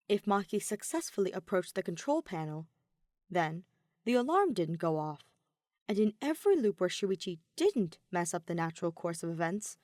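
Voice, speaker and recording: Female audiobook narrator for dramatic fan fiction; medium pitch, dry studio-like sound. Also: a little mellow, no room sound.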